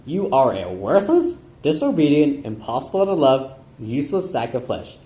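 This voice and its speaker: A man's voice: mid-range voice, sing-song, normal speed, warm tone, natural voice. A man voicing a tyrannical noble father in a puppet play, scolding emphatically, in an echoey room. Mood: furious.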